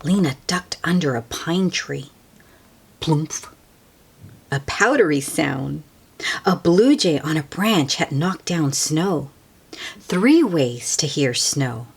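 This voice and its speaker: Female storybook reader, husky and unhurried, expressive delivery that drops into hushed asides for young listeners. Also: sits low. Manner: slow, expressive, hushed at times, explains words simply. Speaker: children's book read-aloud host. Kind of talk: storybook read-aloud with asides to children.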